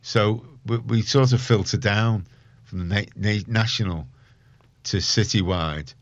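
A man speaks in a fairly low voice, in a casual interview style. He is opinionated and emphatic, doubling back on phrases to drive them home. The recording is dry, with little room sound, and slightly muffled. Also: a little slow, dry recording. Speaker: community radio organiser, interview guest.

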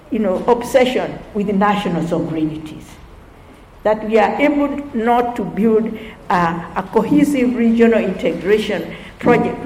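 A woman moderating a lecture who introduces the speaker haltingly, with fillers and restarts. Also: mid-range voice, dark tone, a little hiss or hum. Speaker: event moderator. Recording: roomy hall, faint ambience.

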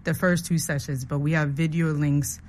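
Low-voiced woman organizer, thoughtful. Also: flat delivery, normal speed, darkish tone, a little hiss or hum. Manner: thoughtful, explains at length. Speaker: masterclass organizer. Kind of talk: casual interview.

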